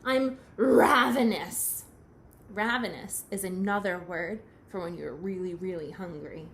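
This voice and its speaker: A woman teacher reading a picture book aloud to young children, expressive and questioning. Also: fairly low pitch, slight background noise. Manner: expressive, pauses to question the listener.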